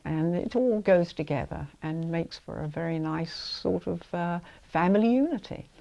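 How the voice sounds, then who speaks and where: A middle-aged English woman with a low, gravelly, mellow voice reminisces fondly. The recording sounds dull and lo-fi. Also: animated, normal speed. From London. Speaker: interviewee. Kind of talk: casual interview.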